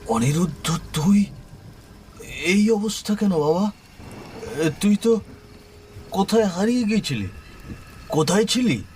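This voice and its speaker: A man's voice: sits high, expressive, unhurried, pauses often, darkish tone, slight background noise, voice pushed up. A man voicing a worried elderly father in a horror cartoon, speaking earnestly and emphatically. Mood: alarmed. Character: worried elderly father of three grown sons.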